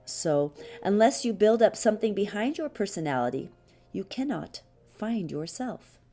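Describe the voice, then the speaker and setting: A woman reading a spiritual teaching text as an audiobook, measured and deliberate, pausing often between short phrases. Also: medium pitch, expressive, warm tone. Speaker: audiobook reader. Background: music behind the voice.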